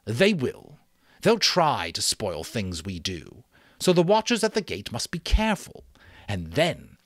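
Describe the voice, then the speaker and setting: Give this storybook role a commanding young chief acted out by a man. The boy's voice sits well above an adult man's usual pitch, swinging widely as he issues solemn, emphatic orders to his tribe. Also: wildly swooping pitch, measured pace, no room sound, clean studio sound. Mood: earnest warning.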